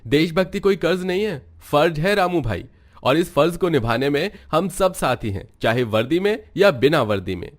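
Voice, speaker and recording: A male actor voices a patriotic army major in a cartoon moral tale. His voice is a little high for a man, and he speaks with friendly pride and conviction. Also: lively intonation, moderate pace, close to an everyday voice. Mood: proud, friendly. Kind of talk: Hindi moral story cartoon dub.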